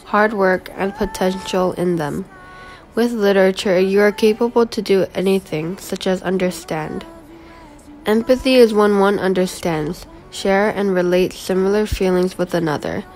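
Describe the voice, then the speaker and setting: Teen girl reading her school autobiography. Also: medium pitch. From Guam. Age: teenager, 15. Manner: earnest, steady reading voice. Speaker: student presenting her autobiography. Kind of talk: read-aloud school presentation. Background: background music.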